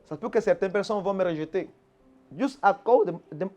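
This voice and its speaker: A fairly high-pitched man speaks as a pastor being interviewed. He teaches with emphatic conviction and often stumbles back over a word before pushing on. Faint music plays underneath. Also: fairly quick, a little mellow, highs cut off. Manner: restarts and repeats words, emphatic teaching tone. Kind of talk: earnest preaching-style answers. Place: Quebec.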